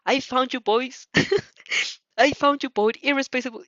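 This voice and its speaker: A woman's voice: high-pitched, steady tone, unhurried, fairly bright tone, dry recording, highs cut off, pitched above the performer's own voice. A woman voicing a stiff, earnest teenage boy student in an audio fan-fiction drama, formal and grandiose. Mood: passionate.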